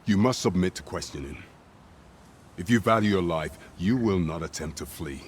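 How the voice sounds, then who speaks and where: Low-voiced, formal guard captain. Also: male voice, lively intonation, a little hiss or hum. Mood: stern, commanding. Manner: formal, authoritative, measured. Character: stern, commanding guard captain of a fantasy kingdom. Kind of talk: video game voice acting, archaic formal speech.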